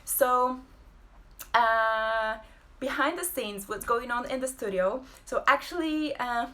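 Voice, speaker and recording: A woman, hosting a casual live-streamed talk from home, pausing often with fillers. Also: on the high side, bright, forceful tone. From Poland. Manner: hesitant, many fillers and pauses. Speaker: host and abstract painter.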